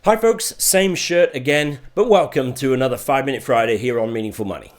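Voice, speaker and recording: A man's voice: medium pitch, fairly quick, faint music behind. A middle-aged man with a Yorkshire accent, a finance video host chatting casually to camera in an upbeat, explanatory way. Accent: Yorkshire English. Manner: chatty and upbeat, lively intonation.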